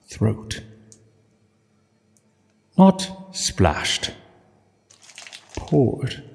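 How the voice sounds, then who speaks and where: Slow, low-voiced solemn man narrating. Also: creaky, a little mellow. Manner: measured, dramatic pauses between short phrases. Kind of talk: solemn literary story narration. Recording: long reverb, music bed. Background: music.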